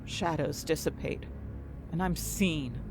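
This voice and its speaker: A woman's voice: deep voice, takes it slowly, a little mellow, soft background music, low-quality recording. A woman acting an anxious, desperate young heroine who tells her own fantasy story in intense, dramatic first-person narration. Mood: despairing. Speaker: narrator and main character of an audio fiction story.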